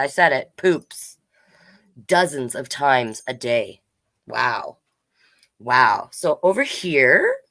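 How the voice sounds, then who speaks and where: A woman's voice: lowish voice, no echo. A woman hosting a children's storytime livestream, chatting casually and reading aloud in a playful, rambling way.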